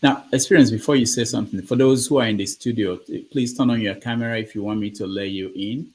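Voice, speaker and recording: A man hosting an online discussion, calling on speakers and keeping order in a brisk, polite way. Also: fairly low pitch, breathy edge. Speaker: host. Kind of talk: moderating an online group discussion.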